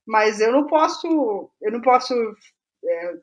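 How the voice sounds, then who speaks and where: A middle-aged Brazilian woman speaking as an interview guest, reflective and halting, qualifying her own words as she goes. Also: on the high side, sing-song. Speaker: guest playwright.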